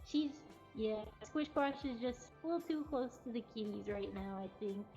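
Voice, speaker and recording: A woman's voice: on the high side, normal speed, warm tone. A woman hosting a casual, unscripted livestream in a cat cafe, talking in a chatty, giggly way. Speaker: cat cafe host presenting adoptable cats. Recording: dull lo-fi livestream audio with music. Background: faint music.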